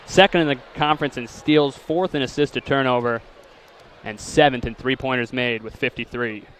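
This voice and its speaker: A man calling live basketball play-by-play, rattling off names and stats and shouting on big plays. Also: mid-range voice, fairly even intonation, normal speed. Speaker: play-by-play commentator. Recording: live gym crowd and court noise. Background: crowd noise and bouncing basketballs.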